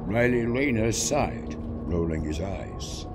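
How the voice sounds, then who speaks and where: A man's voice: lowish voice, lively intonation, slow, over music. A man reading a scripted story as its narrator. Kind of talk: scripted narration of fan fiction. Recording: clear background noise.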